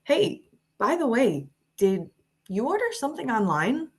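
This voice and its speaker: A woman's voice: a little high, very animated, unhurried, breathy edge, exaggerated voice. A woman playing an earnest, affectionate fiancée at a screenplay table read.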